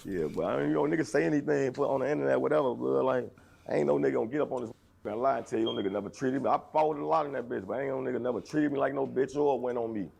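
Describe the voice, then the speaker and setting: A man's voice: racing, gravelly, darkish tone, breathy edge. A young man, telling jail stories in aggressive, rambling casual talk. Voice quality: deep voice.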